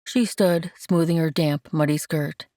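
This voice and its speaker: A woman narrating romance fiction from a script, in a measured and gentle manner. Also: low voice, fairly even intonation, no room sound, clean studio sound. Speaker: audiobook narrator.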